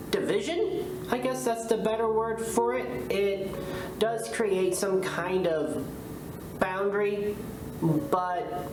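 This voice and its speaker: A woman in her thirties giving reflective interview answers, pausing to find her words. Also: lowish voice, background noise. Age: 30s. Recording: echoey room with music behind.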